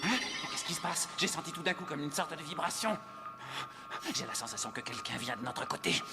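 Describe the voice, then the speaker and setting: A man's voice: fairly high pitch, animated, fairly quick, creaky. A man voicing a proud, anxious, scheming villain muttering to himself in a French anime dub, agitated and theatrical. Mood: uneasy. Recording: loud soundtrack music over dialogue.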